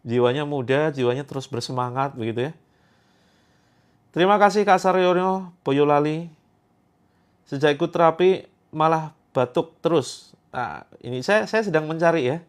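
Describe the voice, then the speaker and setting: A man's voice: a little high, moderate pace, clear, resonant tone. Joking man hosting a live chat. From Indonesia. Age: 30s. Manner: chatty, joking, repeats points for emphasis. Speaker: Quran therapy host. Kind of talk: livestream chat answering viewer comments.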